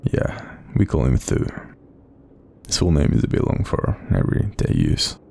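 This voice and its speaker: An Australian man voicing a kindly, apologetic sleep paralysis demon in an intimate audio roleplay, soft-spoken, hesitant and breathy, gently reassuring the listener. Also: huge pitch swings, measured pace, full character voice. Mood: tender, encouraging. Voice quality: very deep, breathy, creaky.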